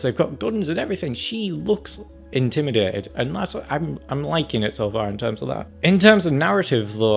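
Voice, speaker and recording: A man hosts a casual film review in a gravelly, creaky voice at an ordinary pitch. He is chatty and conversational, slipping into acted-out reactions. Music plays behind him. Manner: chatty, acts out reactions and quotes. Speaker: film and game review channel host. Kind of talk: casual trailer review commentary.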